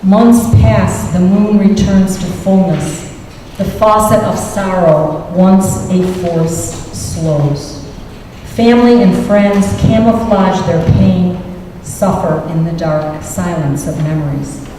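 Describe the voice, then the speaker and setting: A woman speaks as a poet at a public reading, her voice fairly low and mellow. The sound comes from a distant mic in a very reverberant hall. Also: slow. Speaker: poet reading from own book and taking questions. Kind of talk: poetry reading and audience question-and-answer. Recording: echoey hall, distant mic, faint noise.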